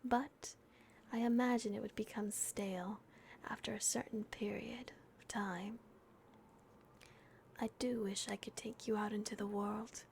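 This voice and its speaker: Woman voicing a caring female vampire guardian, close-mic ASMR whisper, gravelly edges, unhurried. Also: medium pitch, steady tone, slight background noise. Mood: gentle, solemn. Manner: soft unvoiced whisper, hesitant. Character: gentle, protective female vampire caretaker.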